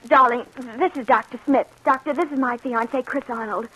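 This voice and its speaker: Old radio drama fiancee in her 20s, high sharp voice, polite, anxious type. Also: brisk, poor audio. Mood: pleading. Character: anxious, devoted young fiancée, girl of twenty-two. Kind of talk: 1940s radio drama acting.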